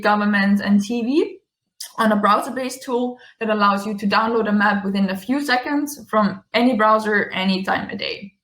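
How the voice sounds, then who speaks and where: Young Austrian woman, accented English, online tech talk; slightly high, friendly, explains with small fillers. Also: fairly bright tone, low-quality recording. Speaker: customer success manager. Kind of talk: online meetup presentation.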